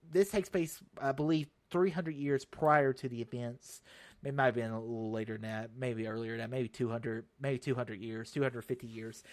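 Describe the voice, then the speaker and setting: A young man hosting his own show, chatting casually about a video game, rambling and restarting sentences with sudden animated bursts. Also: medium pitch. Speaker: show host.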